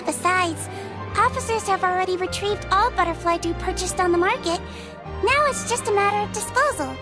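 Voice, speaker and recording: A tiny, kind-hearted creature nurse, speaking very high and softly. She talks gently and sweetly. Game music plays loudly behind her. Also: moderate pace. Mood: cheerful. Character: small kind female Melusine head nurse. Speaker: head nurse. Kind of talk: game cutscene voice acting.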